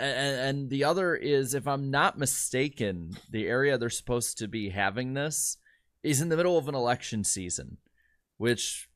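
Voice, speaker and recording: A man's voice: medium pitch, a bit bright, dry recording. Male co-host, mid voice, blunt opinions, dry close mic. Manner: blunt, opinionated, hesitant fillers. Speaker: podcast co-host. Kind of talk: casual chat.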